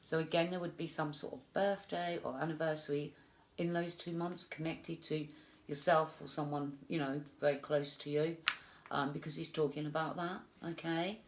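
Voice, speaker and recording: A woman's voice: deep voice, fairly even intonation, moderate pace, a little hiss or hum, poor audio. A woman with a stuffed-up voice from a flu, giving a chatty live psychic reading with many fillers. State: congested with flu. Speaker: psychic medium.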